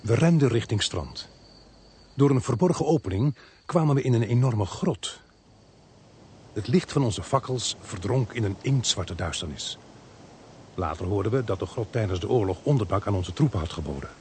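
An actor playing an earnest male narrator-protagonist, telling a suspense story in a measured radio drama style. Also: on the low side, lively intonation, darkish tone, a little hiss or hum, natural voice. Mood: suspenseful narrating. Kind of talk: dramatic first-person radio play narration.

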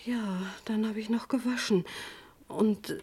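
A subdued wife under questioning in a vintage crime radio drama. She speaks slowly and haltingly, in a soft dark tone with husky breath. Her manner is guarded and weary. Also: fairly high pitch, fairly even intonation, full character voice. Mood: subdued, hesitant. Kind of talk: vintage German crime radio play acting.

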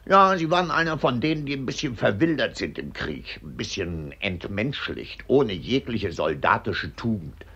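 An actor playing a pompous, callous middle-aged army colonel in a mid-century radio drama, barking and disdainful. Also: male voice, fairly low pitch, sing-song, normal speed, hardly any pauses, bright, forceful tone, husky, full character voice. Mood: contemptuous. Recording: dull, band-limited old radio recording.

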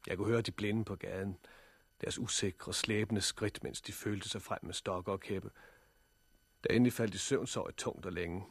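Calm sensible survivor telling his story, old 60s radio play, creaky husky voice, sober. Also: on the low side, no echo. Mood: sombre, reflective. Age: 20s. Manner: measured, sober, understated. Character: pragmatic, calm man of twenty-nine surviving a catastrophe. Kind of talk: 1960s radio drama acting and first-person narration.

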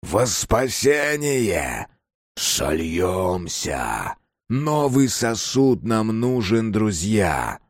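A man voicing a horde of fervent, pleading monster people in an audiobook, chanting in drawn-out exclamations. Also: lowish voice, big pitch swings, no room sound, clean studio sound, exaggerated voice. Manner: incantatory, exclaiming in drawn-out phrases.

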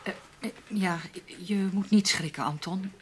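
A woman's voice: mid-range voice, a little slow, a little hiss or hum, close to an everyday voice. A woman with a Netherlands Dutch accent playing an anxious, protective newspaper colleague in a radio play, hesitant and pleading. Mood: worried, pleading. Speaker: radio drama actor.

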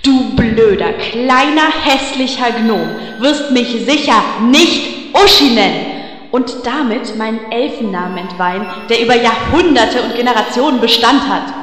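A woman voicing a vain, haughty female elf priestess in a parody audio drama, prim and quick to curse. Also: on the high side, expressive, a bit bright. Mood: furious. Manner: prim, quick-tempered, bursts into cursing. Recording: echoey room reverb.

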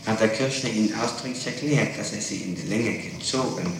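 A man's voice: on the low side, brisk. A middle-aged man questioning someone as a prosecutor in a formal, precise and probing way, on an old tape recording. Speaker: public prosecutor questioning a suspect. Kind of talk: formal interrogation. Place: reverberant room, far from the microphone. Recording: echoey, distant, noisy archival tape recording.

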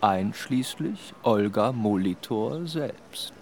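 A man acting in a German radio play as a self-assured, ironic gentleman, deliberate and theatrical in his delivery. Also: fairly low pitch, lively intonation, vocal fry, slight background noise, exaggerated voice. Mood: seductive, confident.

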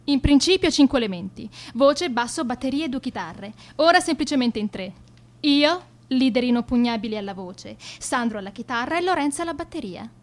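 Radio play: haughty schoolgirl band leader, fairly high voice, florid formal diction, theatrical and self-important. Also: expressive, brisk. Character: arrogant, egotistical teenage schoolgirl, leader of a metal band. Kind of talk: theatrical scripted monologue.

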